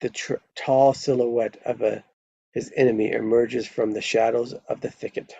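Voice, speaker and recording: A man's voice: mid-range voice, little pitch movement, normal speed, vocal fry, warm tone, breathy edge, no room sound. A man reading stage directions as narrator at a live screenplay table read, on a dull lo-fi microphone.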